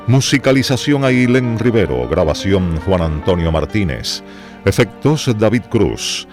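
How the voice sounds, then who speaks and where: A man narrating a scripted radio drama in a measured, dramatic manner. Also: fairly low pitch, sing-song. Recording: music under the voice.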